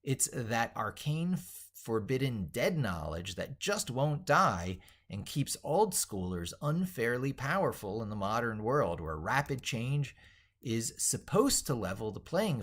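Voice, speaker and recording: A middle-aged man reading his own essay aloud as he works on it, in a theatrical, wordy, emphatic way. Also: on the low side. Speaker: tech educator and video host.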